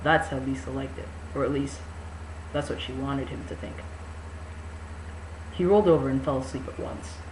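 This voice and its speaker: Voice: mid-range voice, moderate pace, pauses often, a little mellow. Sarcastic, sweary amateur reader in an echoey room. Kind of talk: mock-dramatic novel reading with sarcastic asides. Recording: echoey room with faint noise.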